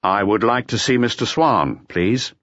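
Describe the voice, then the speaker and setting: A man voicing a polite, calmly official male detective who probes with questions in an audiobook. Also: on the low side, unhurried, no room sound, dull audio, voice pushed up. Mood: polite request.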